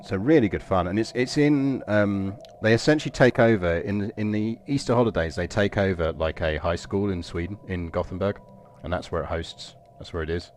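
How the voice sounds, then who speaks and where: A man's voice: low-pitched, brisk, a little mellow, a little hiss or hum. An Englishman chatting casually while live-streaming a game, rambling and self-deprecating. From England. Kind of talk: casual live gameplay commentary.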